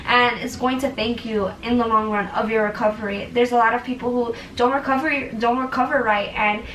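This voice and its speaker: A woman's voice: a little high, steady tone, fairly quick, fairly bright tone, echoey room, recorded from a distance, a little hiss or hum, low-quality recording. A young woman talking through recovery tips in a casual vlog, chatty and earnest with plenty of fillers. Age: young adult. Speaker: vlogger.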